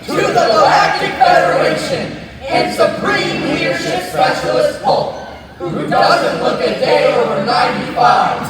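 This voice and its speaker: A man's voice: very high voice, animated, measured pace, far from the mic. Male actor as mock-reverent recruit, chanting, comic theatre, echoing hall, crowd and music behind. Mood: mock reverence. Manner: chanting, half-sung, drawn out. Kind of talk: comic stage theatre acting.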